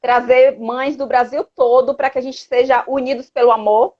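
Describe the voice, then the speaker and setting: A woman's voice: high voice, fairly even intonation, moderate pace. A woman with a Brazilian accent from Salvador, Bahia, speaking earnestly and urging listeners on in a casual live chat, often ending phrases with a tag question. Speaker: host of a live chat, activist parent. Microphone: live social media video call. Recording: dull lo-fi livestream audio.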